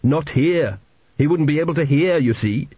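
An awkward young prince, played by a man speaks with mild, polite hesitancy. His storybook voice is husky with lively pitch, on a slightly muffled recording. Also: normal speed, dry recording. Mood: embarrassed, evasive. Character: awkward, mild, embarrassed teenage boy prince.

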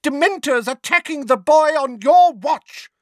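Shrill, flustered old woman, voiced by a man. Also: sing-song, moderate pace, a bit bright, dry recording, clean studio sound, full character voice. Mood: shrieking, furious. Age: older. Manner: shrill, exclamatory, fussing. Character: flustered, scolding, indignant elderly woman, fretful and fierce.

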